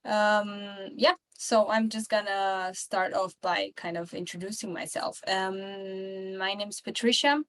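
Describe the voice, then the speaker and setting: A woman's voice: mid-range voice, steady tone, measured pace, hardly any pauses, fairly bright tone. Woman in her twenties, podcast guest, from romania, casual rambling speech, lots of fillers. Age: 20s. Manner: rambling, many fillers and restarts. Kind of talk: casual interview chat.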